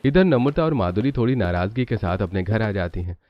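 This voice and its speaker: A man's voice: on the low side, lively intonation, fairly quick. A man narrating a scripted cartoon family story as the storyteller. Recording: dull lo-fi narration, faint noise.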